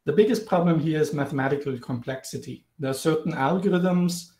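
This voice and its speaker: Austrian guy giving an online lecture, clear and a bit jokey, echoey call audio. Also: on the high side, brisk, darkish tone. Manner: clear, explanatory, lightly humorous. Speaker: guest lecturer, professor. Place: indoors, home or office, virtual background. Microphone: video call webcam. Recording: roomy video call audio.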